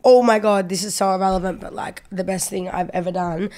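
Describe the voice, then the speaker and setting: A woman's voice: medium pitch, steady tone, a little fast, darkish tone. A woman co-hosting a podcast, chatty and a little sweary, sounding nasal from a cold. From Australia. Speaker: podcast co-host. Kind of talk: casual chat.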